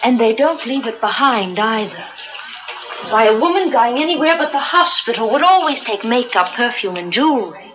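An actress playing a sharp, confident society woman, speaking brisk, emphatic classic Hollywood dialogue. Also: fairly high pitch, lively intonation, close to an everyday voice. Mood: confident, insistent. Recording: dull old film soundtrack, loud noise.

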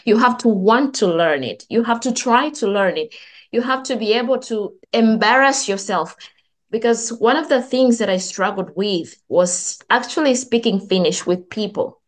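A woman's voice: on the high side, moderate pace. Woman, earnest and conversational. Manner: conversational, with earnest restarts and self-corrections. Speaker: guest, nursing student. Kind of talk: casual interview talk.

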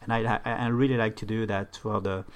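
Frenchman chatting casually, halting delivery. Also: lowish voice, steady tone. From France. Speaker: podcast guest, gaming streamer. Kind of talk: casual podcast chat.

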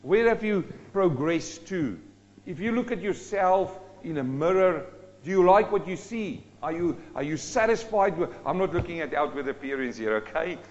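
Male preacher from South Africa, lighter pitch, dramatic swings in volume and pace, dull lo-fi recording. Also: animated, moderate pace. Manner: dynamic, rising and dropping sharply for emphasis. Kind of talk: sermon.

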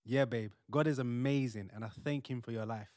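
A man's voice: medium pitch, fairly even intonation, a little fast, darkish tone, no echo, studio quality, natural voice. A man acting a devoted, devout young fiancé in a modern audio play, tender and reassuring.

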